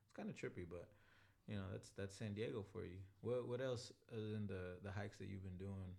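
Gravelly low-voiced easygoing man. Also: fairly quick, dark tone. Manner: easygoing, repetitive, lots of filler phrases. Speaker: podcast co-host. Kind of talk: casual chat.

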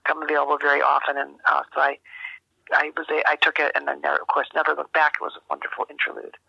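An older woman giving a reflective interview, speaking thoughtfully and haltingly with careful phrasing. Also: low voice, completely flat delivery, gravelly, bright, forceful tone, husky, dry recording, dull audio. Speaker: interview guest, journalist and author.

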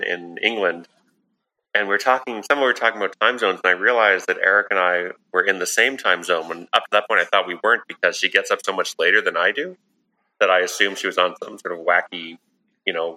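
A man's voice: deep voice, sharp tone, low-quality recording. A man in his forties, a guest on a podcast, joking dryly in casual chat. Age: 40s. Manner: dry, quick-witted joking. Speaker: guest, comics writer.

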